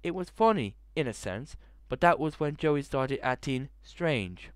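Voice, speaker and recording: A man's voice: medium pitch, expressive, measured pace, no room sound, clean studio sound. A young man hosting a gaming video in his bedroom, reading a visual novel aloud into a headset mic and breaking in with crude jokes. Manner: jokey, swearing, breaks into laughter and asides. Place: bedroom, indoors.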